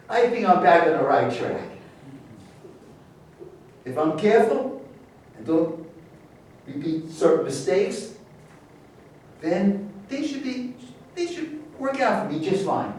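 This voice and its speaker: Casting: male actor as confident golf champion, slightly high voice, slow halting delivery, stage monologue in a reverberant room. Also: big pitch swings, darkish tone, full character voice. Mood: self-assured. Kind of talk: theatrical monologue, staged reading. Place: live staged reading in a roomy space. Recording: very echoey room, distant mic, faint noise.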